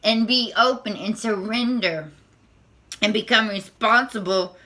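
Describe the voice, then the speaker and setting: Squeaky, bright-voiced nervous woman. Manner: nervous, halting, many restarts and repeated words. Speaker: spiritual tarot vlogger. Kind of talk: unscripted spiritual monologue to camera.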